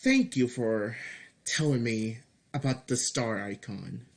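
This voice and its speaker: A man with a medium-pitched voice talks through his game in a casual devlog. His delivery is slow and halting, often restarting phrases and repeating words. He drops in mild swearing for emphasis. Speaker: game developer making a devlog. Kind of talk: casual screen-recorded commentary, reading and answering feedback.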